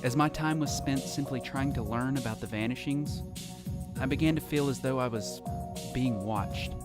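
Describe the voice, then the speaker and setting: Male actor as haunted survivor narrating. Mid-pitched, reflective, somber, clear music underneath. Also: moderate pace, close to an everyday voice. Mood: somber, uneasy. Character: lonely, haunted male survivor telling his story. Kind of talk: scripted first-person audio drama narration.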